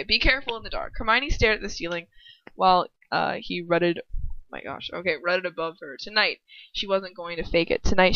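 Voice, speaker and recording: A woman's voice: on the low side, a bit bright, dull audio, slight background noise. A young adult woman reading fan fiction aloud casually, flustered and giggly, breaking off into sweary asides.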